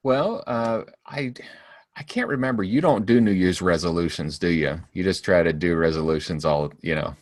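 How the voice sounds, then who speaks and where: A man's voice: low voice, normal speed, no room sound, studio quality. A man in his forties co-hosting a podcast, chatting casually, rambling with many restarts and laughing often. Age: 40s. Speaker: podcast co-host. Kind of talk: casual chat.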